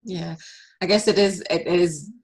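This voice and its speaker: Low-voiced chatty woman host. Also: a little slow, a little mellow, a little hiss or hum. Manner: chatty, with frequent restarts and laughs. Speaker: podcast host. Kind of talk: conversational interview.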